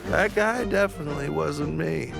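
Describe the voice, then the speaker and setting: A man's voice: fairly high pitch, normal speed, gravelly, over music. An actor playing a lazy young man in a fantasy game, drowsy, reluctant and evasive. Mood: sleepy, evasive. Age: young adult. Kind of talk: animated fantasy game voice acting.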